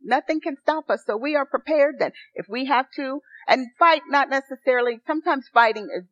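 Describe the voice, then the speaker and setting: A soft-spoken woman pastor preaching a sermon, earnest and rising to excited encouragement. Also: sits high, a little fast, no room sound. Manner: earnest, building to excited exhortation. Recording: dry, dull lo-fi sound.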